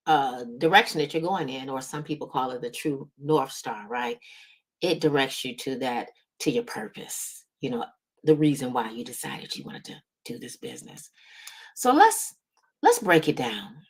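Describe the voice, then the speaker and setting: Low-pitched American woman, emphatic livestream coach. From Midwestern United States. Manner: emphatic, drawing out key words, checking in with "right?" and "y'all". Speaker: host, business strategist and coach. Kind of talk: motivational livestream talk, casual and direct to audience.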